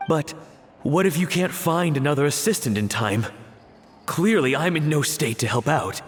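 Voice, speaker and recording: Man playing a dejected father, animated pitch. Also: natural voice. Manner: downcast, halting. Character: dejected, self-doubting father, a failed cook's assistant. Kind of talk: video game voice acting. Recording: reverberant, background music.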